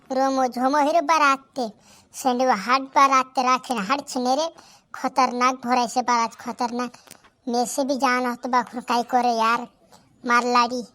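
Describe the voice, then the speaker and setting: A performer voicing a rambling village man in a cartoon, speaking a Bhili dialect in a high, sped-up cartoon voice. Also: pushed and bright.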